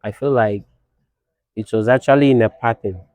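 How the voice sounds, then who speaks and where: A soft-spoken young man with a low voice. He was born in Nigeria and grew up in Ghana. He talks reflectively about his path as a guest in a casual interview, recorded dry and close. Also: normal speed, no room sound. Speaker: guest, fashion designer.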